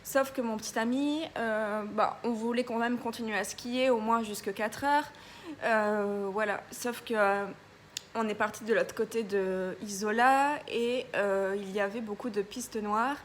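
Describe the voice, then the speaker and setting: A woman's voice: mid-range voice, steady tone, measured pace, a little hiss or hum. A young woman vlogger telling a story in a casual, chatty way, with many hesitations and a stuffy nose from a cold. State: congested, a bit ill.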